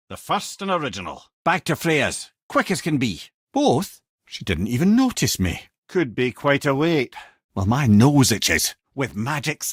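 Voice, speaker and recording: An actor playing a witty, middle-aged talking head of an old sage in a video game, with a Scottish accent and a wry storyteller's manner. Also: male voice, mid-range voice, a bit bright, no echo, studio quality. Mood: thoughtful, then wry, teasing. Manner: wry, loquacious storyteller, avuncular.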